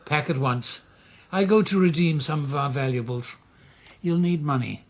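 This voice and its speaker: An actor playing a worldly, affectionate older uncle in a radio drama, husky and theatrical. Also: male voice, on the high side, moderate pace. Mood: wistful. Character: worldly, excitable, scheming older uncle, fond of his nephew.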